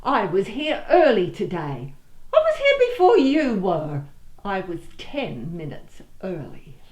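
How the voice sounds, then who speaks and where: A woman's voice: mid-range voice, wildly swooping pitch, takes it slowly, a little mellow, echoey room. A woman playing a flighty, childishly eager soap actress in a comic radio play. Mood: eager, insistent.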